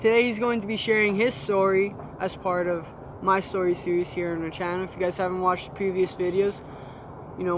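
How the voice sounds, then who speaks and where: A man's voice: high-pitched, background noise. A man hosting a faith channel, welcoming viewers and introducing a guest in an upbeat, casual way. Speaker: channel host.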